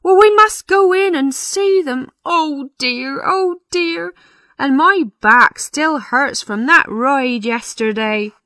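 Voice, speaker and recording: A woman's voice: high voice, expressive, takes it slowly, bright, forceful tone, no echo, clean studio sound, pitched above the performer's own voice, full character voice. A woman voicing a flustered, fretful male village parson in a storybook, exclaiming and lamenting. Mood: anxious, fretful. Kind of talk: storybook character voice.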